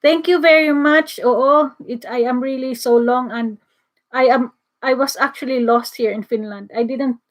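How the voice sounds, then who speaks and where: A woman's voice: high-pitched, steady tone, normal speed. A middle-aged woman from the Philippines with a Filipino accent, whose first language is Bisaya, hosting a casual livestream chat, reading comments and often laughing and restarting her sentences. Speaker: livestream host.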